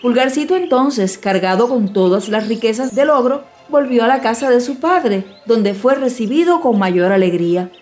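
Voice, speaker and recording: A woman narrates a children's fairy tale in a fairly high voice. Her delivery is scripted audiobook storytelling, with soft music playing underneath. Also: lively intonation, normal speed, hardly any pauses.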